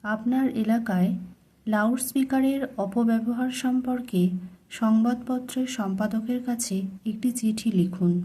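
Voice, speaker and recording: A woman tutor reading a model letter aloud as a lesson, in deliberate word-by-word, dictation-style phrasing. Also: a little high, warm tone, rough recording. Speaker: English tutor.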